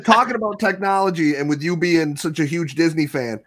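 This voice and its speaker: A man's voice: a little high, fairly quick, a bit bright. A man in his forties in a casual chat, easygoing and agreeable. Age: 40s. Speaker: podcast co-host.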